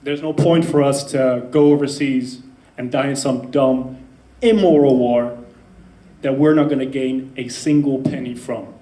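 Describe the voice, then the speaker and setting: A man's voice: medium pitch, steady tone, measured pace, dark tone, roomy sound. A man in his twenties from the Netherlands making an earnest point in a debate, apologising for his language. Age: 20s. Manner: earnest, apologetic about swearing. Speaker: audience speaker at a debate. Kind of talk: public debate speech.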